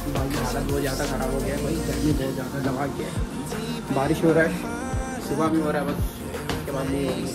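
A man's voice: mid-range voice, little pitch movement, quick pace, long pauses. A man vlogging casually to viewers while walking busy streets, chatty and addressing them as brother and guys. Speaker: moto vlogger. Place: busy city streets and a station outdoors. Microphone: action camera carried while walking. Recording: loud music over street noise.

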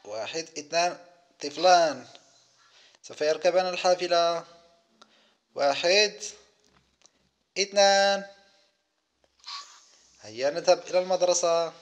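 Higher-pitched man narrating for kids, music behind. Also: a little slow, long pauses. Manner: counts aloud, speaks in short simple phrases. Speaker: host of children's gaming videos. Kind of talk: simple gameplay commentary for young children.

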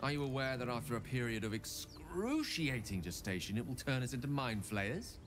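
A man's voice: medium pitch, expressive, moderate pace, over music, lightly acted. An actor playing a courteous, wordy middle-aged male wizard in a video game, speaking in formal, theatrical phrasing. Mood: eloquent.

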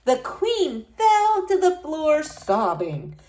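A woman's voice: sits high, huge pitch swings, slow pace, darkish tone. A woman reading a storybook aloud to young children, expressively, in the manner of a school librarian.

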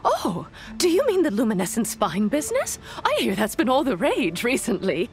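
A woman's voice: fairly high pitch, huge pitch swings, moderate pace, a little hiss or hum. A woman voicing a curious young merchant woman in a video game, chatty, inquisitive and a little gossipy.

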